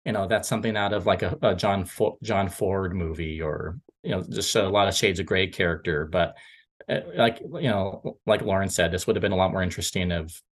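A man co-hosting a film podcast, chatting casually in a halting, rambling way and often correcting himself. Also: sits low, steady tone.

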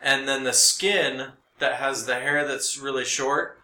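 Bright-voiced man chatting in an echoey room. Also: mid-range voice, fairly even intonation, a little slow, slight background noise. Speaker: podcast co-host. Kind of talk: casual storytelling chat. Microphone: podcast microphone. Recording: roomy, echoey room sound.

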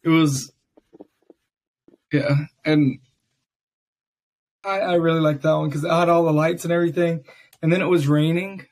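A raspy-voiced young man hosting a casual podcast, chatting loosely with many fillers. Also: a little high, steady tone, moderate pace, long pauses, a little mellow. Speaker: podcast host. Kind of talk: casual chat.

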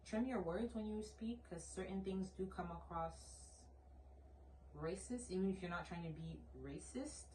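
A woman's voice: medium pitch, moderate pace, warm tone, slight background noise. A woman in her late twenties from Canada co-hosting a podcast, talking bluntly and emphatically in casual conversation, with lots of "like" fillers. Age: late 20s. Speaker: podcast co-host. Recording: roomy room sound, distant mic.

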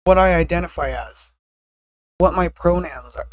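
A woman with a deeper voice chatting casually as a podcast co-host, blunt and often laughing at herself. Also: moderate pace, no room sound, studio quality. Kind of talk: casual chat.

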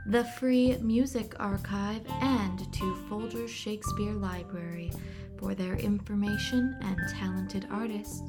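A woman's voice: medium pitch, steady tone, measured pace. A woman reading a scripted outro as the credits announcer of a radio play. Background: music.

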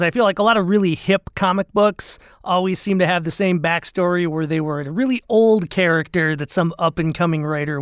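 Man podcast co-host, slightly high voice, chatty, rambling, dry close mic. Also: a bit bright, smooth, dry recording. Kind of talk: casual podcast chat.

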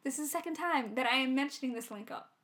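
A young woman with a Minnesotan accent, co-hosting a casual podcast and telling stories in a chatty, animated way. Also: high-pitched. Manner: chatty, quick, animated storytelling. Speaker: podcast co-host. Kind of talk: casual chat.

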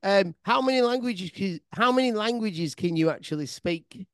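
A man's voice: high-pitched, lively intonation, moderate pace, fairly bright tone, smooth, dry recording, clean studio sound. A man hosting a casual podcast chat, asking curious questions and often restarting them. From England. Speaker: podcast host.

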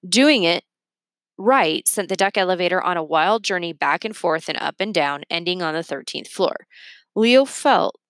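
A woman's voice: lowish voice, a bit bright, no room sound. A woman reading a children's book aloud as a storyteller. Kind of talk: read-aloud storytelling with character voices.

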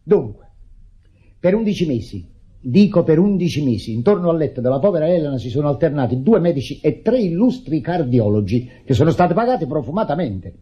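An actor with a Neapolitan accent playing a bitter, suspicious middle-aged brother in a radio drama, sarcastic and stammering when flustered. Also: male voice, on the high side, big pitch swings, a little fast, warm tone, husky. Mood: sarcastic, accusing. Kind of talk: stage-style Italian radio drama acting. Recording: muffled old radio drama recording.

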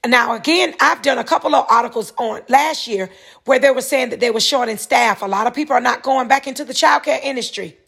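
A woman's voice: on the high side, fairly quick, creaky, a bit bright, husky. A woman, hosting a solo podcast, reading a news article aloud and then rambling through casual commentary, repeating herself and correcting her words.

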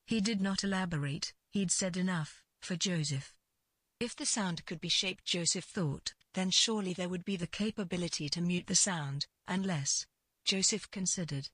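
A woman narrating literary fiction from a script in a measured way. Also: fairly low pitch, fairly bright tone, husky, dry recording.

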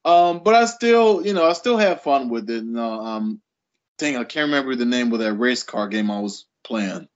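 A man's voice: medium pitch, lively intonation, normal speed, muffled recording. A man in his late thirties chatting casually as a podcast guest. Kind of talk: casual chat, personal storytelling.

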